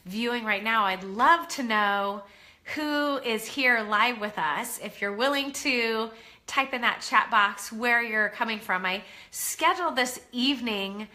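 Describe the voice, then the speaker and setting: A middle-aged woman with a bright, slightly high voice, stuffed up and nasal from a head cold. She talks casually to viewers of a live stream, warm-hearted in content but loose, with frequent ums. It sounds like a webcam or phone mic. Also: a little slow. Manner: chatty and encouraging, many ums. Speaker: parenting coach hosting a live online class.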